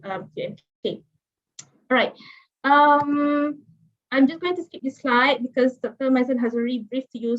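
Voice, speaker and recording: A woman in her thirties with a Malaysian accent, giving a chatty online guest lecture with many fillers and restarts. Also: a little high. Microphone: video call. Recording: muffled video call audio.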